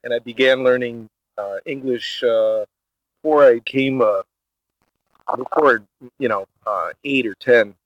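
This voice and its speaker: A man raised in Brazil, Portuguese his first language, speaks in a halting way full of fillers. His voice is at an ordinary pitch. The recording is dry and slightly muffled. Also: no room sound. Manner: hesitant, many filler uhs. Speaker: podcast guest. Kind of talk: casual interview talk. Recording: dull, dry lo-fi sound.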